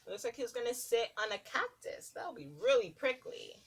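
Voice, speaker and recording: A woman preschool teacher reading a rhyming picture book aloud to young children in a sing-song, deliberate, expressive way. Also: fairly high pitch, breathy edge.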